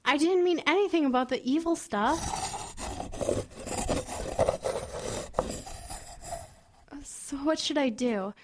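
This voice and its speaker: Woman playing a high-voiced, secretive vengeful teenage girl. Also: lively intonation, normal speed, very noisy. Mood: anxious, resentful. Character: secretive, vengeful teenage schoolgirl dabbling with spirits. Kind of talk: audio horror drama acting.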